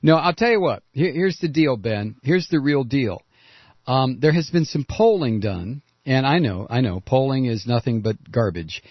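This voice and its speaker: A man's voice: mid-range voice, expressive, normal speed, no room sound, highs cut off. A man hosting a political call-in radio show, talking in a blunt, sarcastic, combative way. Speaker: radio talk show host.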